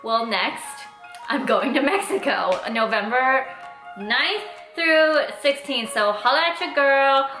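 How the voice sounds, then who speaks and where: A woman in her twenties with a Californian accent speaks in a bright, forward voice that sits fairly high. She chats informally like a vlogger answering questions, leaning on emphatic exaggeration. The room is clearly echoey, with faint music behind her. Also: slow pace. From Orange County, California. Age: 20s. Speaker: travel vlogger and nurse. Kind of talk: casual Q&A vlog chat.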